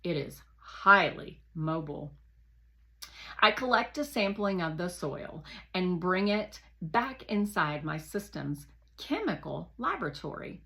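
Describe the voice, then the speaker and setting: A woman voicing a curious, earnest robot rover in a children's storybook, speaking in a precise and measured way. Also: lowish voice, big pitch swings, bright, forceful tone, exaggerated voice. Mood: earnest, focused.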